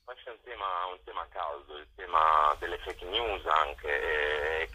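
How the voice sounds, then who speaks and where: A man's voice: low voice, pushed and bright, slightly rough, poor audio. A man organizing cultural events, answers interview questions, hesitant and full of fillers.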